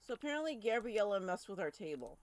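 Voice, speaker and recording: A woman's voice: mid-range voice, sing-song, fast talker, a little mellow. A woman streamer giving casual, chatty commentary on a game playthrough.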